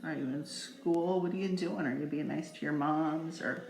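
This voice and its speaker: A woman's voice: sits low, moderate pace, darkish tone. A middle-aged woman giving a casual, chatty makeup tutorial, playful and rambling, speaking straight to her viewers. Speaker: makeup vlogger. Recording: echoey room with faint music.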